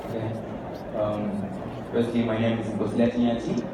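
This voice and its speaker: A man's voice: lowish voice, monotone, a little slow. Young man from Zimbabwe on a panel. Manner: hesitant, with frequent fillers. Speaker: youth climate ambassador. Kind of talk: conference panel self-introduction. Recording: echoey hall, distant mic, background hum.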